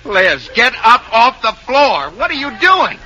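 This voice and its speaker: An actor playing an exasperated, sputtering husband in an old-time radio comedy. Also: male voice, medium pitch, sing-song, normal speed, bright, forceful tone. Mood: flustered. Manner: flustered, sputtering. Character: exasperated, flustered husband of a zany wife. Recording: noisy old radio recording.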